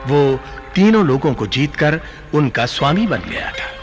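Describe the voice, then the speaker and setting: An actor playing a learned sage who tells sacred legends in a deliberate, expressive radio drama manner. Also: male voice, fairly high pitch. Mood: emphatic. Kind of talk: mythological radio drama storytelling. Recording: studio radio play with music behind.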